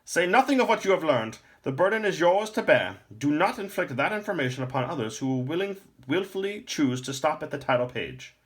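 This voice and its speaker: A stern old keeper of lore, pitched far above the reader's own voice. He speaks gravely and formally, admonishing as he goes. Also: big pitch swings, fairly quick, hardly any pauses. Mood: stern warning. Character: stern, sputtering old lore master, male. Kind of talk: storybook character acting in a read-aloud.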